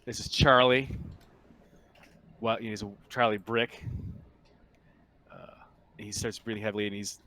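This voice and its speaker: A man narrating a tabletop roleplaying game as game master, improvising in an informal, halting way. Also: fairly low pitch, steady tone, pushed and bright.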